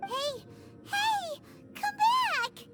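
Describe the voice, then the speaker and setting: A woman voicing a tiny, childlike, excitable fairy companion in a game, squeaky and naive. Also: extremely slow, over loud music, full character voice. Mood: alarmed. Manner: childlike, blurting questions and exclamations. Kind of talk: scripted game dialogue.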